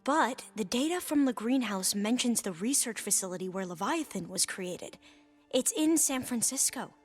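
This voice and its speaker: A hesitant, bookish young scholar. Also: female voice, a little high, expressive, soft background music. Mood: earnest, explanatory. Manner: hesitant, halting, with formal learned wording. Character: nervous, earnest, bookish young woman scholar of a tribe. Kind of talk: video game cutscene dialogue.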